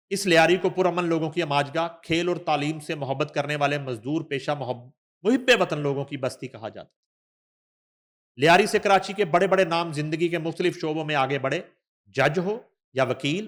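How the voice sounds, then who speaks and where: Higher-voiced Pakistani man giving news commentary. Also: a bit bright. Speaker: news show host. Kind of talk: news commentary, reading an article aloud with asides.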